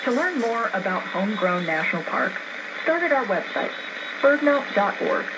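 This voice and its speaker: A woman's voice: medium pitch, sing-song, normal speed. A woman reading scripted narration for a nature radio program, received over shortwave. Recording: very noisy shortwave radio reception.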